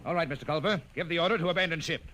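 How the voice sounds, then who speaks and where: An actor playing a stern military commander with a clipped, authoritative manner in a 1950s radio drama. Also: male voice, a little high, racing, music in the background, lightly acted. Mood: stern, urgent. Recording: noisy old radio recording.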